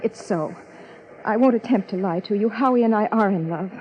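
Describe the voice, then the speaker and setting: An actress playing a poised, pleading wife, earnest and formal, in 1950s radio drama style. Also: female voice, medium pitch, normal speed, lightly acted. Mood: defensive, anxious. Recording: muffled 1950s radio recording.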